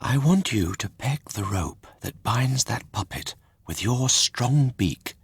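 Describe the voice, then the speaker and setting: Commanding kindly fairy voiced by a man, pitched up. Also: animated, slow pace, gravelly, husky, no echo, full character voice. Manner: gentle but commanding. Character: commanding, kindly blue-haired fairy woman.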